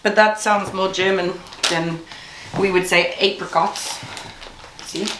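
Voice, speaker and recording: A woman's voice: mid-range voice, steady tone, slow. Zimbabwean woman chatting in an echoey room. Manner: chatty, laughs often, nostalgic. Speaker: vlogger. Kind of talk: casual chat. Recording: echoey room, faint noise.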